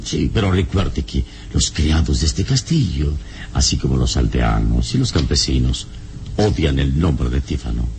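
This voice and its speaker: An actor playing a calm, commanding heroic adventurer in a radio serial, with measured, authoritative delivery. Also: male voice, extremely low pitch, vocal fry, slight background noise, exaggerated voice. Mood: measured, cautioning. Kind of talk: radionovela acting.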